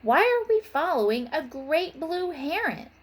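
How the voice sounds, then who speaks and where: A woman's voice: high-pitched, very animated, unhurried, pitched above the performer's own voice. A woman reading a storybook aloud as a cautious schoolboy who likes his comforts. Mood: reluctant. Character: Arnold, cautious homebody schoolboy.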